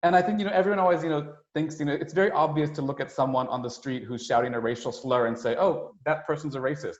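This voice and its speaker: A man giving an explanatory, conversational slide presentation as a webinar speaker, with a halting delivery full of hesitations and asides. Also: fairly high pitch. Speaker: United Nations staff speaker presenting a webinar. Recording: roomy, dull webinar audio.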